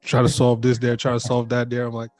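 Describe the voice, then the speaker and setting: A man's voice: mid-range voice, completely flat delivery, fast, a little mellow. Male podcast host, casual, friendly, chatty, faint music in the background. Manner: casual, friendly, calls guest "man". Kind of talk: casual interview chat.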